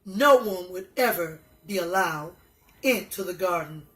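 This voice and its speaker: A woman reading a children's story aloud, with halting, deliberate delivery. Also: lowish voice, lively intonation. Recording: echoey room.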